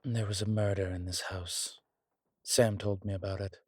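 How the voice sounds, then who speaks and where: A man playing a long-lived demonology professor in an audio roleplay, calm, protective and gently wry, speaking in a measured, deliberate way. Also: sits low, breathy edge, no echo, clean studio sound. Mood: grave, explaining. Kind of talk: slow intimate audio roleplay acting.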